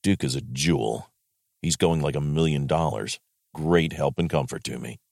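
A man with an American accent reading as a wartime army general, in a clipped, matter-of-fact way with a gravelly voice. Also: a bass voice, moderate pace, darkish tone, no echo, clean studio sound, exaggerated voice. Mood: terse, composed. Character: male US Army general writing a terse wartime diary. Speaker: actor reading a wartime diary. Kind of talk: dramatic diary reading.

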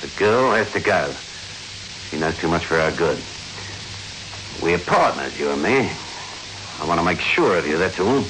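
An actor playing a sarcastic, threatening criminal boss in a 1960s radio thriller. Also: male voice, sits low, expressive, moderate pace, pauses often. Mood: menacing. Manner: sardonic, threatening, commanding. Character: menacing, sarcastic male criminal boss with gun. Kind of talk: 1960s radio suspense acting. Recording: hissy, noisy old radio recording.

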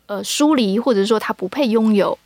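A woman's voice: mid-range voice, a little fast, a little mellow, no room sound. A woman with a Taiwanese Mandarin accent, a podcast guest explaining a drama production in conversation.

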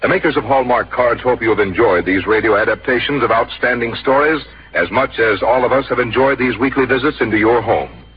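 A man announcing in polished, measured 1950s radio style, reading a sponsor's message and sign-off. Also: medium pitch, slightly rough. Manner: polished, measured sales delivery. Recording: muffled vintage radio, loud orchestra behind.

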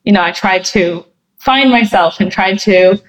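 A woman's voice: medium pitch, a little slow, poor audio. A woman from New Jersey answering interview questions, thoughtful and chatty, with many fillers and restarts. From New Jersey, USA. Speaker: guest.

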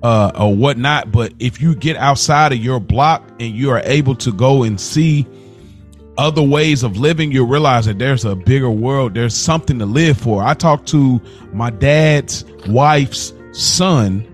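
Mid-pitched man in casual podcast talk. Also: unhurried, faint music behind. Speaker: podcast co-host. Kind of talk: casual chat.

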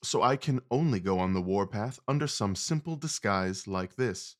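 An actor playing a confident, commanding gentleman detective, wry and precise, in an audio drama. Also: on the low side, animated, normal speed, close to an everyday voice. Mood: pleased, wry.

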